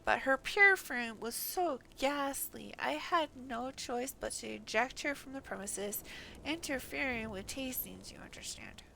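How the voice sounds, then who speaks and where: Woman voicing a haughty, fussy connoisseur, bright-toned. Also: sits high, unhurried, vocal fry, a little hiss or hum, heavily acted, rough recording. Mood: haughty, disdainful. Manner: formal, haughty, precise. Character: fussy, refined connoisseur with a keen nose. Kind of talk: game dialogue read aloud in character.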